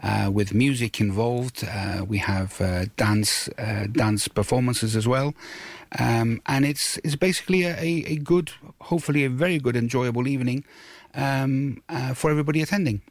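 Halting man in dry studio. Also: mid-range voice, dry recording. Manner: halting, hesitant, repeats words. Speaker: radio guest, show producer. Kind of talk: explanatory radio interview. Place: radio studio.

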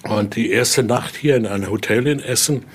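A man's voice: mid-range voice, moderate pace, slight background noise. A man informally guiding visitors through an exhibition, telling stories and often tagging his sentences with short questions. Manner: frequent question tags at ends of sentences. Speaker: guide and collector showing a Voodoo altar exhibition. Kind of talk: informal guided tour, storytelling.

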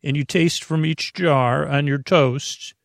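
A man hosting a bedtime podcast in a rambling, halting monologue, over-explaining and trailing off with a creaky, gravelly voice. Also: a little high, fairly even intonation, no room sound, clean studio sound. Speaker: podcast host.

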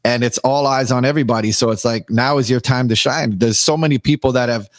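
A Canadian man with a raspy, worn voice from long nights of streaming. He tells his stories with animated enthusiasm at a mid pitch, recorded close and dry with no room around him. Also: fast, dry recording. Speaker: guest DJ. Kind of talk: candid podcast conversation.

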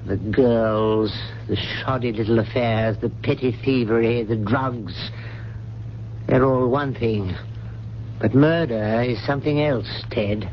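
An actor playing a stern, loyal old family butler in a 1970s radio drama, speaking in a grave, measured, formal way. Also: male voice, medium pitch, natural voice. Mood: accusing. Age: older. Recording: muffled, noisy old radio broadcast.

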